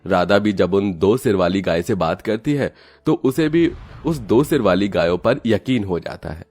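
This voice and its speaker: A man's voice: low-pitched, lively intonation, normal speed, a little mellow. A man giving scripted narration for a cartoon story, telling it steadily and evenly.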